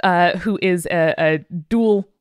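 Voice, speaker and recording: A woman's voice: fairly low pitch, takes it slowly, clear, resonant tone, no echo. A woman hosting a podcast, reading out listener mail in a casual, irreverent way with wry asides. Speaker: podcast host.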